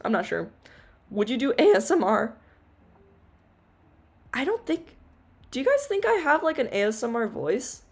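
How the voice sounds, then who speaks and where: Young female streamer, casual rambling Q&A, filler-heavy, giggly, low-ish loud voice. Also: big pitch swings, normal speed, long pauses, breathy edge. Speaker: VTuber streamer. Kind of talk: casual Q&A livestream chat.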